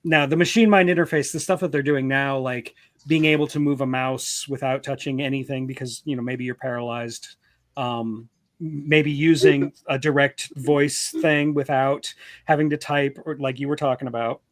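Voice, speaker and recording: A man's voice: mid-range voice, fairly even intonation, moderate pace, dry recording. A man talking in a casual group chat, thinking aloud earnestly with lots of "like" fillers. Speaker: author and stream regular.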